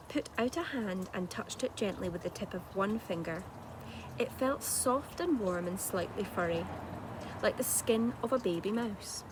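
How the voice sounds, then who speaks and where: A woman with a slightly high voice reads a children's story aloud. Her delivery is measured and dramatic, like a teacher's. There is clear noise in the recording. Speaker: primary school teacher reading aloud. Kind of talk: expressive children's storybook narration. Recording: clearly noisy home-made recording.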